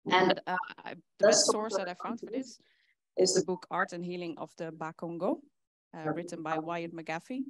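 A woman's voice: fairly low pitch, moderate pace, low-quality recording. Earnest young woman giving a talk. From Netherlands. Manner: earnest, with frequent fillers. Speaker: conference speaker. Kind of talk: presentation talk. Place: conference hall with audience.

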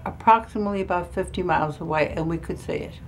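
Older woman chatting haltingly in a cabin. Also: on the low side, vocal fry, low-quality recording. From New York area. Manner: halting, searching for words, restarts. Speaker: interviewee, cruise passenger. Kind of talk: casual chat. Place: small ship cabin, indoors. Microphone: handheld camera close up.

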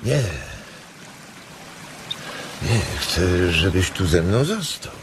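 An actor playing a weary, protective, sorrowful farmhand in a radio drama, speaking in a halting, tender way. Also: male voice, sits low, sing-song, exaggerated voice. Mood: weary, gentle. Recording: noisy nature ambience behind.

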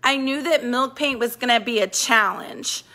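A woman's voice: a little high, moderate pace, sharp tone. A middle-aged woman who owns a vintage shop, chatting enthusiastically through a casual home tour of her apartment while filming on a phone. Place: indoor apartment living room. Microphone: handheld phone filming the room.

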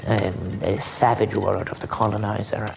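Gravelly older man lecturing. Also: lowish voice, little pitch movement, normal speed, background noise. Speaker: commentator interviewee. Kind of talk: interview commentary.

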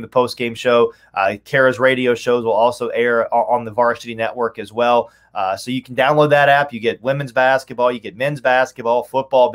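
Man talking sports on a video call. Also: medium pitch. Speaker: guest, radio play-by-play announcer. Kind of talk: conversational sports commentary. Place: indoor home room on a video call.

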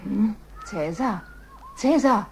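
A woman's voice: mid-range voice, lively intonation, slow, creaky, heavy background noise. A woman playing a devoted, anxious lioness, mate of the lion king, in a children's audio drama. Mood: worried.

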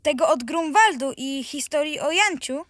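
A woman's voice: sits high, animated, normal speed, fairly bright tone, no echo, studio quality. A woman playing a sulky, skeptical young grandson in a children's radio play.

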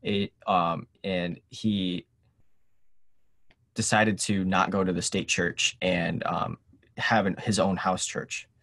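A young man chatting casually as an interview guest, hesitant and halting in his delivery. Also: deep voice, level tone, a bit bright. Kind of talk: casual chat. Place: indoor room, seated on a couch on a video call.

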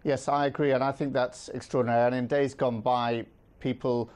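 A middle-aged man speaking as a guest in a live news interview, measured and earnest, with frequent ums. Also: medium pitch, flat delivery, darkish tone. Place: studio with city view.

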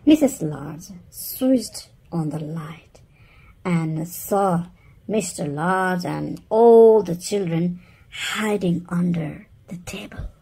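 Slow, husky woman reading a storybook. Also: on the low side, expressive, mellow. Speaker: storybook reader. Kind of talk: children's read-aloud narration.

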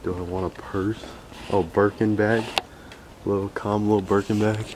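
A young man casually narrating a handheld vlog while exploring an abandoned factory, relaxed and slangy, joking with his viewers. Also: lowish voice, moderate pace, noisy background. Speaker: urban explorer vlogger. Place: abandoned factory, large indoor space. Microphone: handheld camera mic.

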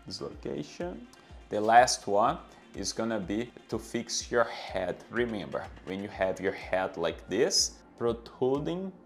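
A man in his thirties from Brazil with a Brazilian Portuguese accent, a fitness trainer giving exercise instructions to camera and counting reps aloud. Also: on the low side. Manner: coaching, counts reps aloud, non-native grammar. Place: bright indoor room with anatomy posters. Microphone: clip-on lapel mic.

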